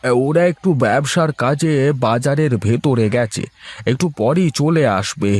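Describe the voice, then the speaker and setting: A man's voice: mid-range voice, expressive, moderate pace, a little mellow, dry recording, natural voice. A man voicing a genial, middle-aged village father who is proud of his sons, hearty and eager, in a cartoon with music. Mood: eager, friendly. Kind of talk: cartoon story dialogue. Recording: music under the voice.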